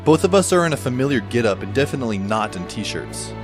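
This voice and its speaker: A wry, bewildered teenage schoolboy tells his story as acted by a man in an audio drama. His delivery is wordy and incredulous, with lively swings in pitch. Faint music sits behind his medium-pitched voice. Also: quick pace, close to an everyday voice. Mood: dazed, wry. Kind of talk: scripted first-person audio drama narration.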